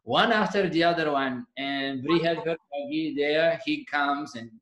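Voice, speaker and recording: A man with a Bulgarian accent tells stories with energy in a slightly high voice. He is a dance teacher speaking over a video call. The room around him rings with echo. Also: a little slow. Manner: animated storyteller, acts out quoted lines with laughter. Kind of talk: casual video-call interview.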